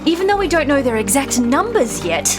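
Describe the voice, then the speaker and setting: Casting: actress as excitable, haughty noblewoman for anime, higher register, very animated, music bed. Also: fairly quick. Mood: skeptical. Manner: dramatic, haughty, exclamatory. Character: brash, excitable aristocratic lady, easily exasperated. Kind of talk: anime-style manga dub acting.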